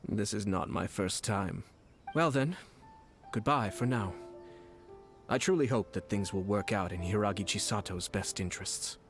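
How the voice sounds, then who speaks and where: A man voicing a calm, scheming young noble in a video game, smooth and quietly confident. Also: lowish voice, moderate pace, soft background music, lightly acted. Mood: composed. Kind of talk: game voice acting.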